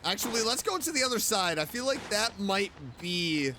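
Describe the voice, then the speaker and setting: A man doing casual gaming commentary, chatting excitedly to himself and his game character. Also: high voice, normal speed, noisy background. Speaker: streamer, video game let's player. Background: game music.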